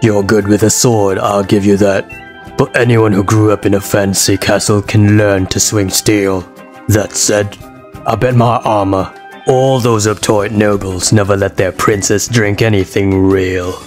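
A man's voice: lowish voice, level tone, a little slow, close to an everyday voice. A man playing a cocky warrior in a game fan dub, taunting as he speaks. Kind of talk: fan dub voice acting of a fantasy story game. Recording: music behind the voice.

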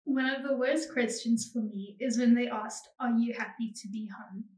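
Girl's halting voice in an echoey room. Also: fairly high pitch, fairly even intonation, darkish tone, a little hiss or hum. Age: young. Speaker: missionary kid. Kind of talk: answering questions. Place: home dining room, indoors. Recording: echoey room, slightly distant.

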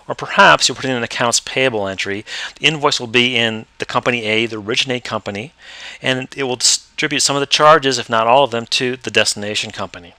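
A man explaining step by step how to use accounting software in a screen-recorded tutorial. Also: mid-range voice, no echo. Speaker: software trainer.

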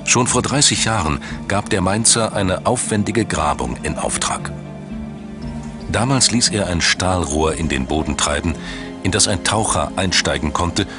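Deep-voiced man narrating a documentary over music. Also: animated, moderate pace. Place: studio voice-over.

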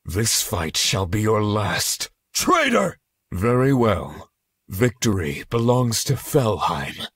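A man playing a grim, imperious necromancer warlord in a fantasy video game, with a raspy, theatrical delivery. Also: lowish voice, very animated, a bit bright, no echo, clean studio sound, exaggerated voice. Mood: calm, brooding. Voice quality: husky, raspy. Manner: slow, imperious, dramatic, formal diction. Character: grim, commanding undead-raising necromancer warlord.

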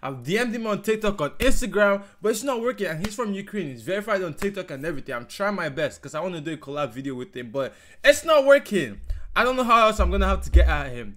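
A young man hosting a casual reaction video from his bedroom, talking excitedly to viewers. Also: sits high, rapid-fire. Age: young adult. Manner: excitable, talks to viewers directly, says bro and guys a lot. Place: small bedroom with red lighting. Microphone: over-ear headset.

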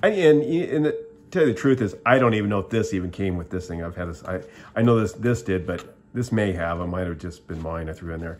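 A man's voice: sits low, expressive, fairly quick, darkish tone. A middle-aged man giving a casual, rambling show-and-tell review at a table, plainspoken and often restarting his sentences. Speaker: product reviewer. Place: indoors at a table, filming the tabletop.

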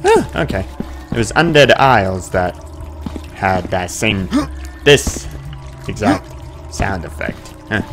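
Male gaming commentator, very animated pitch, casual muttered asides with sudden exclamations, music behind. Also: takes it slowly, long pauses. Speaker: gaming video host. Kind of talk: casual let's-play commentary. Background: game music and sound effects.

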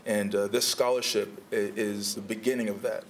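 A man from the organizing team speaking in a measured, sincere way. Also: lowish voice, level tone, slight background noise. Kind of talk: interview and speech.

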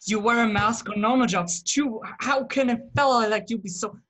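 A man's voice: very high voice, normal speed, a bit bright, a little hiss or hum. A young man playing an impatient, old-fashioned gangster boss in a crime drama, slangy and grumbling into a laptop. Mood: impatient exasperated.

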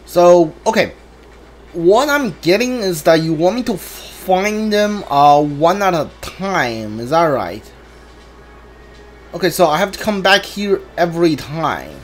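Guy with a high voice streaming a game, kinda stumbly, game music behind him. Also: very animated. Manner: halting, stumbling over words. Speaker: gamer streaming a playthrough. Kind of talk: casual gameplay commentary, reading dialogue aloud.

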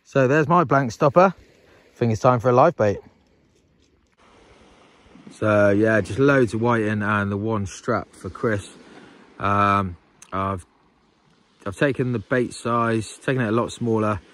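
A man's voice: on the low side, a little slow, long pauses. Chatty man vlogging a night fishing trip. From England. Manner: relaxed, chatty, lots of fillers. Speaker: angling vlogger. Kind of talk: casual fishing vlog commentary. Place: night beach outdoors, then indoor room at home.

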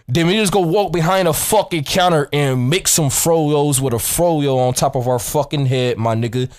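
Brash, higher-pitched young American man, slangy. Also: normal speed. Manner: brash, swearing, repeats himself. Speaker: prank caller and streamer. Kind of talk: prank call, slangy confrontational banter. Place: indoor gaming setup.

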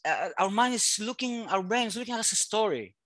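A man in his forties whose first language is Greek, talking over a headset on a video call as an interview guest, halting often and searching for words. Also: sits high, very animated, a bit bright, dry recording, studio quality. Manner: halting, searching for words with many fillers and restarts. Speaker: guest artist. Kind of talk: casual interview conversation. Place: room at home.

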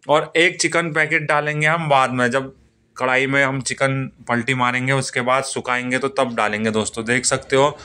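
Bright, clear-voiced man hosting a cooking vlog. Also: mid-range voice. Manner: eager salesy repetition, keeps urging viewers to watch. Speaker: cooking channel host.

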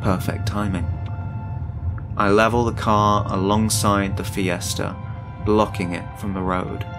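A man's voice: fairly low pitch, little pitch movement, a little slow. A man reading a scripted first-person horror story as its narrator. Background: music.

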